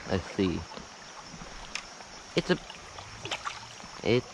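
Man, low voice, slow unhurried talk, casual fishing commentary, outdoor action camera, loud stream water behind. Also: very animated, creaky, a little hiss or hum, poor audio. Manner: low-key, short remarks to himself and the fish. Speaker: fly fishing vlogger. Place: outdoors wading a small stream. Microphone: head-mounted action camera. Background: rushing stream water.

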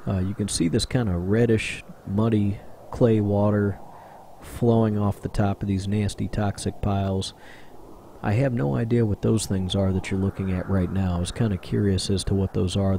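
Male vlogger, low mellow voice, rambling voice-over, faint hiss behind. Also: steady tone, moderate pace, creaky. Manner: rambling, conversational, with many fillers. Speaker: urban explorer vlogger. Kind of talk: casual voice-over narration.

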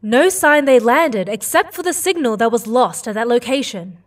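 Determined alien mother played by a woman, high and insistent, slightly distant mic, audio drama. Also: lively intonation, normal speed, lightly acted. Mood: puzzled, concerned. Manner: earnest, insistent. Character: worried, determined alien mother on a space mission. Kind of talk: sci-fi audio drama acting.

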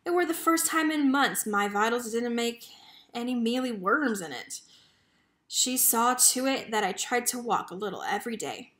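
Higher-pitched woman reading a storybook aloud. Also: lively intonation, normal speed, fairly bright tone. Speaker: read-aloud narrator. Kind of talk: expressive children's picture book reading.

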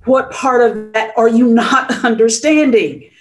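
A woman's voice: fairly high pitch, unhurried, close to an everyday voice. A woman playing a frantic young woman, urgent and alarmed for her sister, at a dramatic script table read. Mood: insistent.

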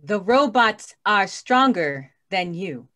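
A woman playing a defiant, rebellious robot in a radio drama, with halting, emphatic delivery. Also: medium pitch, lively intonation. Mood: menacing. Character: defiant rebellious robot refusing human orders. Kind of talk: old-time radio drama acting. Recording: noisy with clicks.

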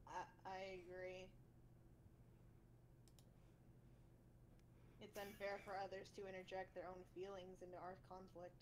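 A woman voicing a timid, refined teenage schoolgirl in a visual novel, earnest and formal in a hushed tone. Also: fairly low pitch, monotone, a little fast, voice pushed down, close to an everyday voice, low-quality recording. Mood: quiet reproach. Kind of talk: amateur visual novel voice acting.

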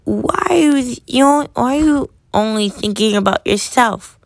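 A woman's voice: a little high, animated, measured pace, vocal fry, no room sound, voice pushed up. A woman voicing an earnest, hurt little cartoon boy who speaks in a pleading, hesitant way. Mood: hurt, exasperated.

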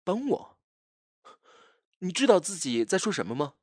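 Casting: cocky jealous male rival played by a man, very animated, husky, theatrical, dry close sound, audio drama. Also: high voice, normal speed, dry recording. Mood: incredulous. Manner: taunting, drawling, theatrical.

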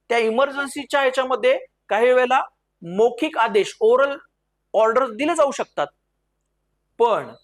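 A man lecturing in an explanatory way, mixing in English terms and slowing down emphatically for key phrases and quotations. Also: high voice. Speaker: civil services exam lecturer.

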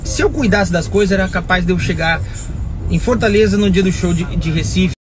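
A man in his thirties, still getting over a cold so his voice is a little rough, rambling anxiously in a self-mocking way while filming himself on a phone in a car. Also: high voice, brisk, music in the background. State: recovering from a cold, voice slightly rough. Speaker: vlogger and performer. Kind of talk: casual selfie vlog. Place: inside a car. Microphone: phone at arm's length.

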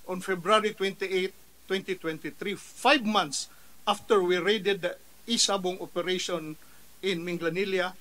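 A middle-aged Filipino-accented man reads out a prepared statement at a hearing, his voice sitting notably high for a man. He keeps a steady, deliberate reading pace and speaks into a table mic in a large hearing room. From Philippines. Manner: reads steadily from papers, rising and louder when quoting his own retort. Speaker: official testifying at a Senate hearing. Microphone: table microphone.

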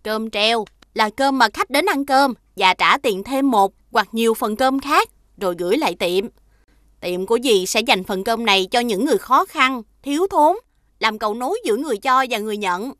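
Kindly auntie food seller, cartoon, highish bright voice, patient, recorded dry. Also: female voice, lively intonation, moderate pace, no room sound. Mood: explaining. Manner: obliging, patiently explaining. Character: kindly middle-aged female street food vendor, an auntie. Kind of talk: children's cartoon voice acting.

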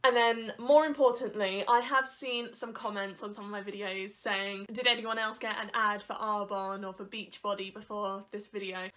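A woman's voice: medium pitch, fairly even intonation, moderate pace, few pauses, fairly bright tone. A young woman with a congested nose from hay fever, chatting candidly to her camera at home. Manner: chatty, candid, laughs between points. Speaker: YouTuber. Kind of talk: casual vlog chat. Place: living room at home. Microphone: camera on a desk in front of her.

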